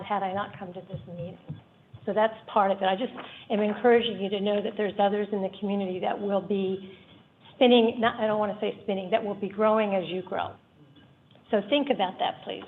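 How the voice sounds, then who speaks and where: A soft-voiced woman giving an earnest public comment at a board meeting, explaining her points with examples. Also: mid-range voice. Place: meeting room. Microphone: room microphone. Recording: tinny phone-like meeting audio.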